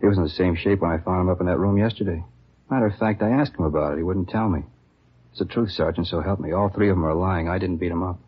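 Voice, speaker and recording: Accused policeman, low husky voice, defensive, protesting in short bursts, muffled vintage radio sound. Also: quick pace. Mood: defensive, indignant. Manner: insistent protesting in short repeated denials. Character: accused male police officer, indignant, bewildered, defensive. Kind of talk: 1950s radio drama acting. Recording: muffled old radio broadcast recording.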